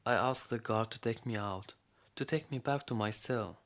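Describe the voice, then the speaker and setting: An actor playing a weary, imprisoned storyteller, narrating a radio play in a measured, grave way with a creaky voice. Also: male voice, lowish voice, natural voice. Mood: dejected.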